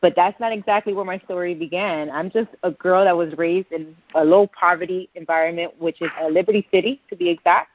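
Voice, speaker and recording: A woman in her thirties with a Puerto Rican accent, telling her life story as a podcast guest in a fast, excited way. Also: lowish voice. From Puerto Rico. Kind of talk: storytelling interview. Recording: dull, lo-fi podcast audio.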